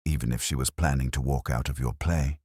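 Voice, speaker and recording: A needling private investigator , read by a man talks in a very deep voice. He is sardonic and clipped, with a dry, close audiobook sound. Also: fairly even intonation, a little fast, darkish tone, breathy edge, dry recording. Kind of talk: audiobook character dialogue.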